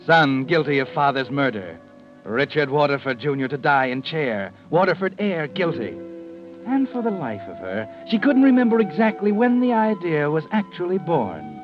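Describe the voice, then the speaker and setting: An actor playing a sinister, all-knowing narrator of a radio mystery, speaking in a measured, theatrical and ominous way. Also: male voice, a little high, sing-song. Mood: brooding. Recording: muffled old radio broadcast, music behind.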